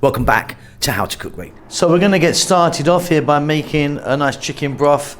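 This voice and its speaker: A man hosting a cooking channel, talking casually through a recipe demonstration in a kitchen with a relaxed, chatty manner. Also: a little high, moderate pace, slight background noise. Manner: chatty, relaxed, thinks aloud with fillers.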